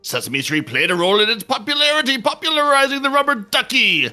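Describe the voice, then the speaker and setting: A man putting on a loud, excitable English TV newscaster with a hammy delivery. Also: very high voice, fast, pitched above the performer's own voice, exaggerated voice. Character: shouty English male TV newscaster.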